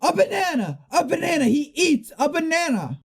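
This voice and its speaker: A young woman playing a pompous, excitable sports commentator in a satirical radio play, with melodramatic, emphatic delivery. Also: sits high, moderate pace, creaky, heavily acted. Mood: excited. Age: young adult. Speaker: student actor in a radio play.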